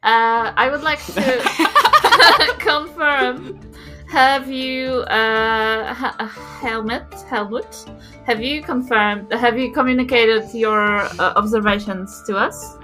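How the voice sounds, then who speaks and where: A woman's voice: on the high side, takes it slowly, over music. A woman with a New Zealand accent playing a tabletop game, hesitant and jokey, full of fillers.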